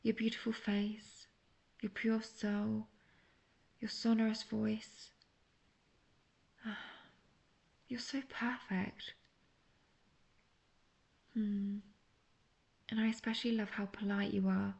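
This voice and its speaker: A woman playing an obsessive, manipulative witch in an intimate roleplay audio drama, speaking in a breathy, coaxing, often half-whispered way. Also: medium pitch, little pitch movement, slow pace, pauses often, darkish tone. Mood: infatuated, breathy. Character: obsessive young female witch, lovestruck and manipulative.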